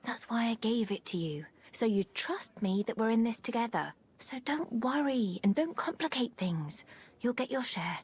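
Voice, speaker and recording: A woman's voice: a little high, animated, moderate pace, husky. An actress playing a scheming, foul-mouthed, bossy woman in a video game, speaking in a conspiratorial, coarse way. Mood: assured, conspiratorial. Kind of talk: dramatic video game voice acting.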